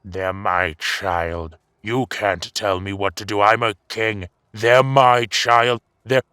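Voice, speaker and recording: A man's voice: on the low side, steady tone, takes it slowly, sharp tone, smooth, no echo, studio quality. A man playing an old, indignant and fearful king in a fantasy audio drama, faltering as he clings to his authority. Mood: desperate protest.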